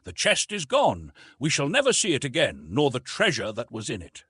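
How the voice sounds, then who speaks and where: A man's voice: medium pitch, huge pitch swings, moderate pace, fairly bright tone, breathy edge, dry recording, studio quality, pitched above the performer's own voice, full character voice. A man voicing a fussy, pompous, absent-minded old professor in an audiobook, repeating himself as he talks. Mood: resigned. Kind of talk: dramatised audiobook reading.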